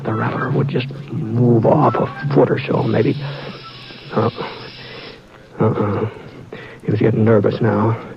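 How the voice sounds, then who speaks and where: An actor playing a calm frontier cowboy who also narrates the tale, talking in a measured, reassuring way. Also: male voice, lowish voice, gravelly. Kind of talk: 1950s radio drama acting. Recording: dull old radio transcription with music.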